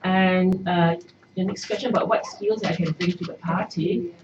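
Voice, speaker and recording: A middle-aged woman, a native Cantonese speaker, answering press questions as a party candidate in a measured way with hesitations. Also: lowish voice, steady tone. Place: party office press room. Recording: echoey room, far mic, dull noisy.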